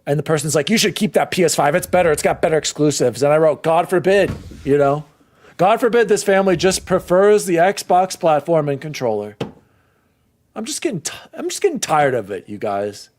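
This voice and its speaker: A man hosting a casual podcast, ranting in an exasperated, animated way. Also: a little high, fairly quick. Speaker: podcast host.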